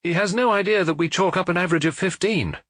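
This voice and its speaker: A man voicing Maigret, a dry, ironic middle-aged detective on holiday, a little higher than his narrating voice. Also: fast talker, a bit bright, no room sound. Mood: wry.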